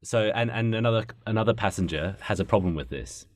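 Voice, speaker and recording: A man's voice: lowish voice, fast talker. A man hosting a podcast, chatting casually and jokingly as he brainstorms with others. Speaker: podcast host. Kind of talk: casual comedic brainstorming chat.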